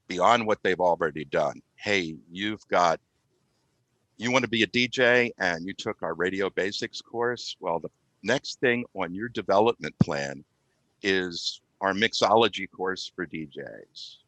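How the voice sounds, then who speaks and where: A man's voice: deep voice, a bit bright, dry recording. A man explaining things as a guest in a podcast interview, talking over a video call. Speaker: podcast guest, community radio IT volunteer. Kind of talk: interview answers, explanatory chat. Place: remote call with virtual background.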